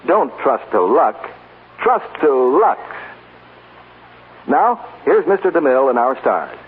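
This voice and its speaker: A man's voice: mid-range voice, sing-song, slow, slightly rough. An American man reading a 1940s radio commercial with earnest, polished salesmanship. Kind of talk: 1940s radio advertising read. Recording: tinny, noisy 1940s radio recording. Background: hiss and noise.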